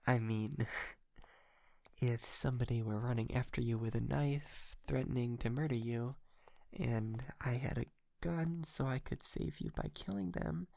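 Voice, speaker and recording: A man playing a shy, polite, lovestruck yandere kidnapper in an intimate ASMR roleplay, stammering, hesitant and softly doting. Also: medium pitch, a little slow, gravelly, no room sound. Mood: coy, amused.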